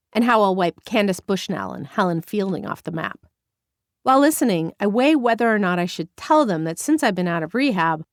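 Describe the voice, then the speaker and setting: A woman narrates her memoir in the first person, wry and conversational. Her voice has a gravelly fry and swings lively in pitch. The recording is dry and close, with no room sound. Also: no room sound. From Northern California. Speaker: audiobook narrator.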